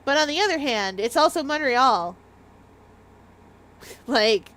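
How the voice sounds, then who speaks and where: A woman's voice: high-pitched, expressive, takes it slowly, fairly bright tone. A Canadian woman with a light Maritime Canadian accent, chatting casually and giggling as she livestreams a game. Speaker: game streamer.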